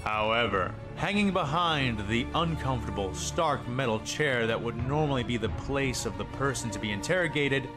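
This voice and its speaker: A male narrator reads scripted dramatic fiction in a mid-pitched voice. Music plays clearly behind him throughout. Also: expressive, moderate pace, hardly any pauses. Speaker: story narrator.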